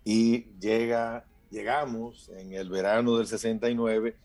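Low-voiced Dominican man telling stories. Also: level tone, measured pace, darkish tone. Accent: Dominican Spanish. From Dominican Republic. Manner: storytelling, acts out quoted voices. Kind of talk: casual chat.

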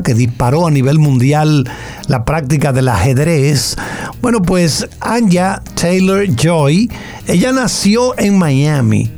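A man calmly explains an entertainment story as a news presenter. His voice is a touch high for a man, with faint music behind him. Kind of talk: conversational news report.

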